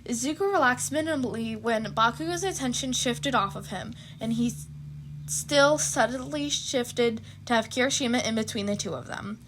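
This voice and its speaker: A woman's voice: on the high side, normal speed, fairly bright tone, a little hiss or hum. A woman narrating fan fiction expressively, with casual asides.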